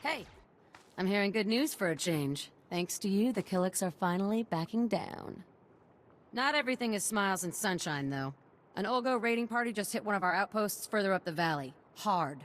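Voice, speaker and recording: An actress playing a battle-weary but encouraging military officer in a video game, speaking in an informal, direct way. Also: female voice, medium pitch, expressive, moderate pace, lightly acted. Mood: relieved, wry.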